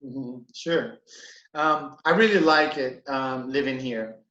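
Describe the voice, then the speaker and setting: A man from Brazil, a hotel manager, chatting in a relaxed, halting way with many fillers on a video call. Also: mid-range voice. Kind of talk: casual interview. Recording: echoey room, dull lo-fi sound.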